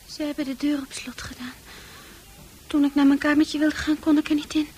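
A woman's voice: high-pitched, level tone, a little fast, darkish tone, close to an everyday voice. An actress playing a touchy, defiant young woman in a radio play, speaking in a stubborn, childlike and petulant way. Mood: bewildered. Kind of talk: Dutch radio play acting. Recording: noisy old radio drama recording.

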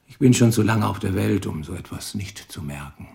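Gravelly, world-weary police detective. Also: male voice, fairly low pitch, expressive, a little mellow. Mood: knowing, pressing. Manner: measured, knowing, insistent questioning. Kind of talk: German radio crime drama acting.

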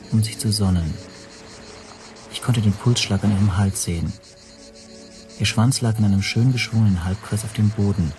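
An actor playing an anxious foreign language teacher who narrates his own story in a measured, introspective radio play style. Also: lowish voice, lightly acted. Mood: reflective. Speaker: first-person narrator.

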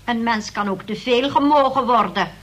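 An actress playing a prim, nosy, churchgoing neighbour in a Dutch radio play, unctuous and full of pious insinuation. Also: female voice, fairly high pitch, moderate pace, a bit bright, lightly acted, low-quality recording. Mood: disapproving.

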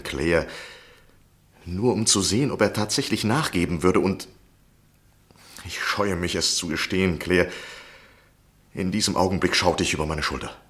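Classic radio drama lead, insistent, uneasy gentleman, deep voice, measured confessional storytelling. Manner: deliberate, literary, confessional. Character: anxious, insistent gentleman journalist recounting his obsession. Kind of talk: 1960s German radio play acting and narration.

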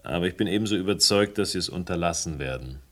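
A man's voice: deep voice, expressive, quick pace, dry recording. A man playing a sardonic, insistent amateur investigator in a German radio crime drama, with a taunting, theatrical delivery. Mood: knowing irony.

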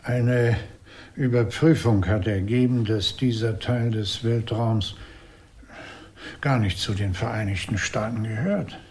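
An actor playing a genial, sheepish government agent in a German radio play, talking in a conversational, hedging way. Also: male voice, fairly low pitch, steady tone, slow pace, a little mellow, slightly rough, heavily acted. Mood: matter-of-fact.

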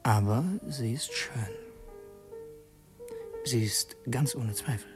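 A man's voice: mid-range voice, slow pace, over music, lightly acted. An actor playing an earnest revolutionary who narrates his secret mission in a literary radio drama, introspective and brooding. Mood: reflective narration.

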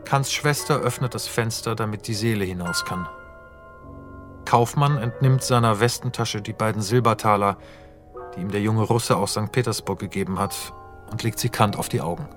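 Calm male radio play narrator. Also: lowish voice, moderate pace, over music. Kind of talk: scripted narration of stage directions.

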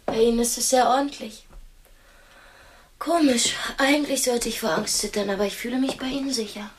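A woman's voice: a little high, steady tone, fairly quick, noisy background, close to an everyday voice. An actress in a radio drama playing a proud, cultured young woman held captive, articulate, candid and critical. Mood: candid, restless.

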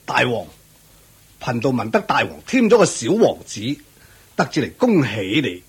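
A man playing a humble, solemn elderly holy seer in a radio drama, grave and deliberate. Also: mid-range voice, huge pitch swings, breathy edge, full character voice. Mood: respectful, amazed. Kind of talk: Cantonese radio drama acting.

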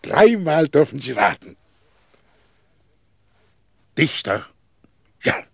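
An actor in a radio play, playing an imperious, self-important company president, gravelly and husky, with a pompous, commanding manner. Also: male voice, a little high, sing-song, very slow, no echo, clean studio sound, full character voice. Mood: amused, nostalgic. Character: imperious, self-important tycoon, hectoring his staff, absent-minded. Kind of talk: German radio play acting.

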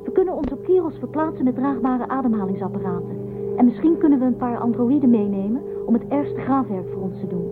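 A woman's voice: on the high side, lively intonation, fast, close to an everyday voice. A woman playing an inquisitive spaceship crew member in a science fiction radio drama. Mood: businesslike. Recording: lo-fi phone-like band, music behind.

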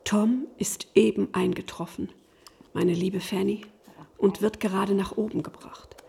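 A woman's voice: medium pitch, steady tone, a little slow, vocal fry, mellow, breathy edge, full character voice. An actress in a German radio play, playing a helpless, worried upper-class older lady with a plaintive, genteel manner. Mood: anxious, helpless.

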